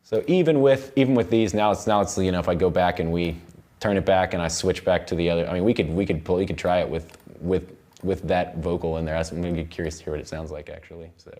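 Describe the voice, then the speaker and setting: A man giving a casual software tutorial as a music production instructor, rambling and repeating phrases as he thinks aloud. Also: deep voice, fairly even intonation, fast talker. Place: indoor recording studio.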